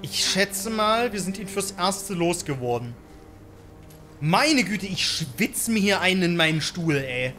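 A young man giving excited, reactive gaming commentary, often exclaiming and repeating words in bursts. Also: high-pitched, unhurried. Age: young adult. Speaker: let's player. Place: indoor gaming setup. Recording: game music behind the voice.

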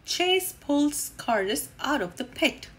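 A woman hosts a storytime for small children, reading a picture book aloud. Her high voice swings widely and expressively as she takes each sentence slowly. Loud music plays along behind her. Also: crowd noise. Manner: slow, animated, exclaiming for young listeners. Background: music under the voice.

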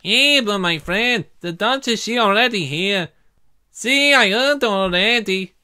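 A man voicing a pleading, excitable cartoon boat trader who drops Spanish words into his talk. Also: sits high, unhurried, clear, resonant tone, voice pushed up, heavily acted.

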